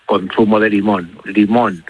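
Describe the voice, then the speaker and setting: A man's voice: fairly low pitch, fairly even intonation, a little slow, breathy edge, no echo, narrow, tinny audio. A middle-aged man from Spain with a Castilian accent, talking effusively and conversationally on the radio, rambling and repeating words for emphasis. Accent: Castilian Spanish. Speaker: radio travel and food contributor. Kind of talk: enthusiastic conversational radio talk.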